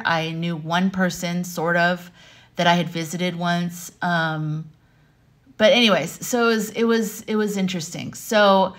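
Low-pitched American woman telling stories casually. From Arizona, United States. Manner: animated, rambling, conversational. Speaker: vlogger and life coach. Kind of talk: casual personal storytelling to camera. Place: home room with wooden beams, indoors.

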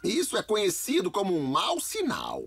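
A man's voice: high-pitched, wildly swooping pitch, moderate pace, creaky, heavy background noise, heavily acted. A Brazilian man voicing a wry, wary cartoon talking dog with a sardonic manner. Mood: wry worry. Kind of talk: animated cartoon dub.

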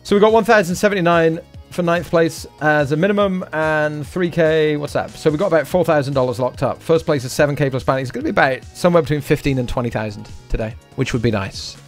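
Faint music plays behind a man with a fairly high-set voice. He hosts a live poker stream and commentates on each decision as he goes. He leans on short, repeated catchphrases between his explanations. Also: fast. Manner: talks through hands aloud, repeats short catchphrases.

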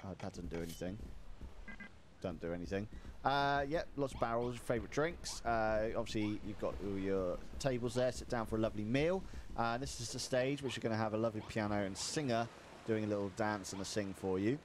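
A man's voice: fairly low pitch, fairly quick, a little hiss or hum. A young man co-hosting a casual game stream from a small room at home, jokingly guiding viewers around a build as he plays. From United Kingdom. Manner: joking, chatty tour guide. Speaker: streamer co-host.

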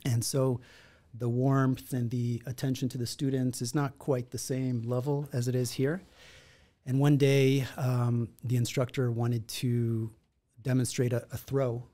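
A man in his forties from Israel, a podcast guest, telling his story in a reflective, measured way at a studio mic. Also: medium pitch, little pitch movement, dark tone. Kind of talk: conversational storytelling. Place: podcast studio in a martial arts gym. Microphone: boom-arm studio mic with headphones.

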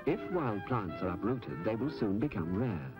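Low, lively male information film narrator. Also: moderate pace. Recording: old film soundtrack with music. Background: music.

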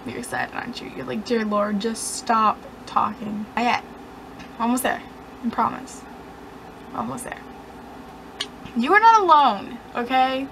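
A woman's voice: fairly high pitch, a little slow, gravelly, long pauses, background noise. Young woman rambling sarcastically to her webcam. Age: young adult. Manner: rambling, sarcastic and self-mocking. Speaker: vlogger. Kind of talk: casual chat to camera. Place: dim bedroom, indoors.

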